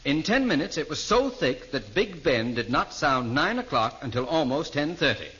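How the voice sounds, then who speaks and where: An actor playing a mild, formal weather forecaster with a dry, fussy manner in an old radio comedy. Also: male voice, a little high, big pitch swings, normal speed. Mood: droll. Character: mild, proper, droll weather forecaster gentleman. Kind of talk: 1940s radio comedy acting. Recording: muffled, noisy old radio recording.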